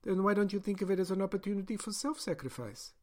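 A coolly courteous woman scientist who talks of sacrifice with chilling calm, put on by a male narrator. Her speech is prim and clipped, pitched well above the reader's natural voice. It belongs to an audiobook thriller. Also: fast talker, close to an everyday voice. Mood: cool polite. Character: polite ruthless female doctor experimenting on captives. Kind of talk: audiobook character voice.

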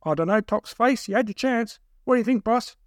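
A man's voice: very high-pitched, brisk, no room sound, studio quality, pitched above the performer's own voice. A man voicing a big, jeering captor who fires off questions like a mocking quizmaster in an audiobook. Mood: taunting. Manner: taunting, mocking quizmaster delivery. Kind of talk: audiobook character voice.

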